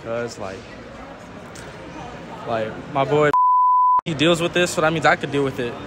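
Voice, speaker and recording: A man's voice: mid-range voice, unhurried, very noisy. Hesitant young man, rambling answer. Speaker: interviewee. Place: outside a mall store.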